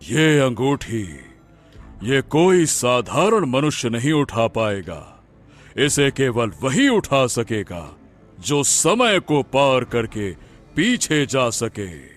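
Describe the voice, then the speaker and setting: Man as a slow, commanding holy man. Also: mid-range voice, big pitch swings, soft background music. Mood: solemn decree. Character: cunning, commanding holy man with magical powers. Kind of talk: animated Hindi moral-story acting.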